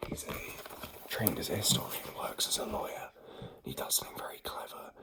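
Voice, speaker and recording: A man's voice: sharp tone, rough recording. Vlogger, male, voice gone, whispers throughout with an American-sounding lilt, chatty and rambling, in a home. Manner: whispered, rambling with many fillers. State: lost voice, whispering. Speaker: vlogger and singer-songwriter. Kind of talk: casual vlog chat. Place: in a home, indoors.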